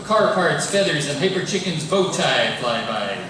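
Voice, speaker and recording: A man's voice: a little high, big pitch swings, normal speed. A man reading scripted stage directions as narrator of a live comedy table read. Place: indoor live event before an audience. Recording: echoey hall, distant mic, clear noise.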